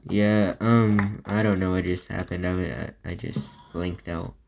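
A woman's voice: extremely low pitch, steady tone, a little slow, creaky, background noise. A woman with a Southern US accent giving solo gameplay commentary, rambling and talking to herself between moves. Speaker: gaming YouTuber.

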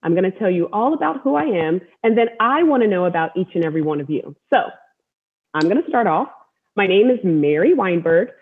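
American woman, online host, encouraging, emphatic repetition, medium pitch, narrow tinny call sound. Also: expressive, fairly quick, slightly rough. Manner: encouraging, repeats words for emphasis. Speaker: host, storytelling athlete. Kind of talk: welcoming talk to an online group. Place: room at home. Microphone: wireless earbud on video call. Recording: narrow-band video call audio.

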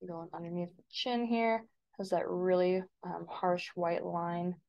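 A woman instructor talking informally through a step-by-step software tutorial as a screen-recording voice-over. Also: lowish voice, steady tone, a little slow, dull audio.

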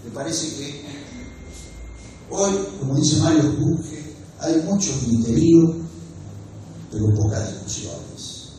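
A man in his sixties with an Argentine Spanish accent, giving a measured, earnest formal speech at a desk microphone in a wood-panelled university hall. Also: a little high, animated, dark tone, a little hiss or hum. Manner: measured and earnest, gestures with his hands, pauses often mid-phrase. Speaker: speaker at a book presentation. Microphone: desk microphone on a stand. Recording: very echoey hall, voice picked up from a distance.

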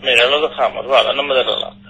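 A man's voice: mid-range voice, fairly even intonation, fast talker, pushed and bright, highs cut off. A man talking firmly and disapprovingly on a casual call over speakerphone. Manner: disapproving, firm. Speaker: pet owner receiving a call. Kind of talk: casual phone conversation. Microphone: phone call played over speakerphone. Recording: raspy telephone speaker sound.

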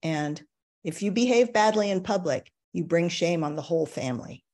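An older woman from the United States reads a personal essay over a video call in a measured, reflective way. Her voice sits low for a woman, and she leans into emphatic moments. She sits in a book-lined home study. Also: animated, a little mellow. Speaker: essayist reading her work. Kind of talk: reading a personal essay aloud.

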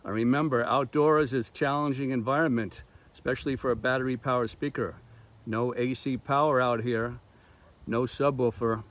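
A man's voice: mid-range voice, fairly even intonation, moderate pace, fairly bright tone. A man giving casual product demo commentary as a gear reviewer, talking outdoors in the open. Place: open park lawn outdoors. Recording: outdoor recording with street traffic noise. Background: passing cars, outdoor ambience.

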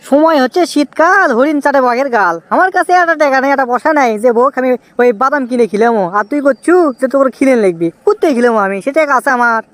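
A man's voice: extremely high pitch, quick pace, few pauses, fairly bright tone, dry recording. A man voicing a cheeky, evasive young newlywed husband with a Bogura Bangladeshi accent, whining out excuses in a comic cartoon. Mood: exasperated.

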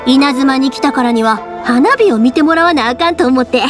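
An actress playing a cheerful young fireworks-shop owner with a Kansai accent, lively and chatty. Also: female voice, sits high, brisk, close to an everyday voice. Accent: Kansai Japanese. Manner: lively, chatty, warm teasing. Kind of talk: game voice acting. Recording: game audio with music behind.

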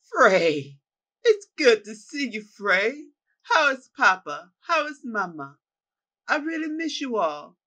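A narrator voicing a grown man who talks like an excited child, pleading for his brother's approval. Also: medium pitch, takes it slowly, pitched above the performer's own voice, full character voice. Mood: eager.

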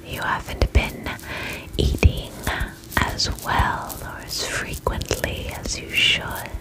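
A young woman playing a gentle vampire spa attendant in a soothing, breathy ASMR whisper, slow and polite, close to the microphone. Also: close to an everyday voice, poor audio. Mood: soothing, attentive. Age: young adult.

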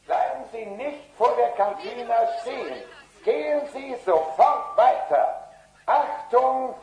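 An actor playing a polite, fussy talking car computer with dry humour and precise, formal speech in a German audio drama. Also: male voice, extremely high pitch, expressive, takes it slowly, darkish tone, full character voice. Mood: commanding. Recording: echoing, noisy cassette radio play.

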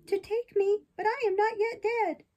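Woman voicing a whiny, high-pitched wooden puppet boy. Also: animated, a little fast, dark tone, highs cut off. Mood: frightened screaming. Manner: plaintive, protesting.

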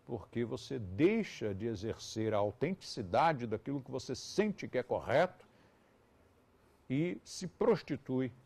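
Lively older Brazilian man lecturing. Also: medium pitch, gravelly. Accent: Brazilian Portuguese. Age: 70s. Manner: conversational, ironic asides. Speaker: yoga teacher. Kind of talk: live lecture to students.

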